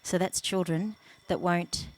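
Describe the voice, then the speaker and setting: A woman speaking as a children's advocate on a conference panel, soft-spoken and measured. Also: lowish voice, steady tone, poor audio. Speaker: national children's commissioner. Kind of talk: panel discussion. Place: conference panel at a live event. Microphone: event microphone.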